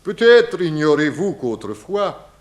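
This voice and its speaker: Theatrical Russian émigré with swooping delivery. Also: male voice, fairly high pitch, very animated. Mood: gossipy, mournful. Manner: slow, grandiloquent, broken grammar with dropped articles. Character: eccentric, philosophical Russian émigré man, theatrical and cryptic. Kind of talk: 1960s French radio crime drama acting.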